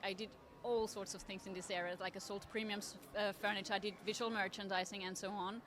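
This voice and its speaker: A woman's voice: medium pitch, flat delivery, noisy background. Woman, thoughtful interview guest. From Austria. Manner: articulate, thoughtful, measured. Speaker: interview guest, trend forecaster. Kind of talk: conversational interview. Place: at a table at a design fair.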